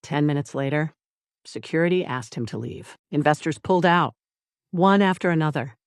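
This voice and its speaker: Dry, measured dramatic audiobook narrator. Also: medium pitch, clean tone, no room sound. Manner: measured, deliberate, dramatic short phrases with pauses. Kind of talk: scripted dramatic story narration.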